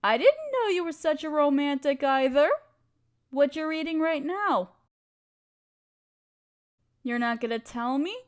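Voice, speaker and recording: A woman's voice: high-pitched, expressive, measured pace, long pauses. A woman playing a playful, affectionate wife who loves poetry, theatrical and teasing, in a romantic audio roleplay.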